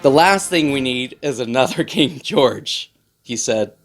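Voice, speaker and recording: A man reads a picture book aloud for young children with a very lively, swooping delivery. His emphasis is playful and theatrical, and he speaks straight to his listeners. Faint music plays behind him. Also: medium pitch, measured pace.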